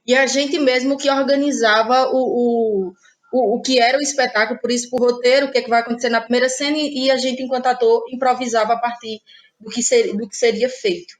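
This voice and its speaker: Cearense woman, higher voice, thoughtful. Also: fairly even intonation, a little fast. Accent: Brazilian Portuguese, Cearense. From Ceará, Brazil. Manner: thoughtful, hesitant, many fillers like "né". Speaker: guest, playwright and actress. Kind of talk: interview answers.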